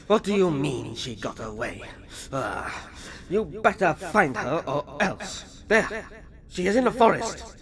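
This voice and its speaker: A man's voice: on the high side, very animated, takes it slowly, crowd noise. A man playing a sinister gang boss in a fantasy ASMR roleplay, commanding, mock-polite and gloating. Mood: furious, gloating. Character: sinister male hunter and gang boss, menacing, taunting.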